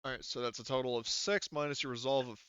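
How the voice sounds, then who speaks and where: A man's voice: mid-range voice, steady tone, fast talker, fairly bright tone, smooth, dry recording, lo-fi sound. A man speaking casually as a tabletop game master, thinking aloud hesitantly as he works through the rules.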